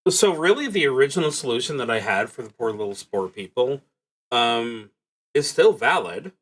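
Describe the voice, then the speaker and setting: A man streaming a solo tabletop roleplaying game, thinking aloud in a halting, reflective way as he works through his moves. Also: mid-range voice, very animated, fairly bright tone, low-quality recording.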